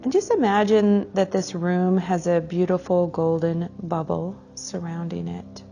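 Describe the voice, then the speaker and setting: Slow, low-voiced woman guiding meditation. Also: creaky, faint music behind. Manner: soothing, unhurried, drawn-out phrasing with soft trailing endings. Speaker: guided meditation leader. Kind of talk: slow guided meditation for a group class.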